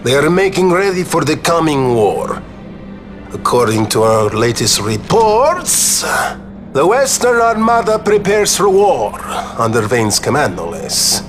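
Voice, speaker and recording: An eloquent, formal nobleman in a fantasy game drama. His delivery is theatrical and articulate, with a widely swinging pitch. Music plays prominently behind him. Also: sing-song, measured pace. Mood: urgent, emphatic. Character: eloquent adult nobleman giving a grave war briefing. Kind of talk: dramatic video game voice acting.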